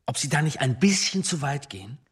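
A man's voice: on the high side, sing-song, fairly quick, breathy edge, heavily acted. An actor playing a male senior police chief in a radio drama, coolly authoritative, questioning in a dry, clipped, reproving way. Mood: sceptical, reproving. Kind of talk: German radio drama dialogue.

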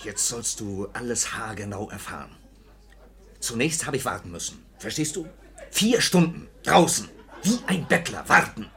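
An actor playing an agitated grown son, earnest and urgent, in a 1950s German radio drama. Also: male voice, mid-range voice, lively intonation, moderate pace, fairly bright tone. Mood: bitter. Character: disillusioned grown son, agitated, desperate for honesty.

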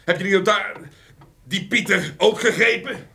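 An exasperated, sarcastic husband in a radio play. He scolds in a high, forceful, gravelly voice. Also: normal speed, heavily acted. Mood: exasperated scolding. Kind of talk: radio drama acting.

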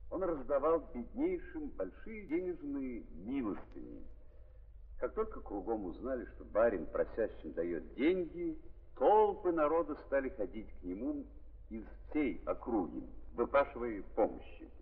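An old muffled, reverberant recording of a male narrator. He declaims in 1930s theatrical style, with a very wide, swooping pitch range and a slightly high voice. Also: big pitch swings, a little slow.

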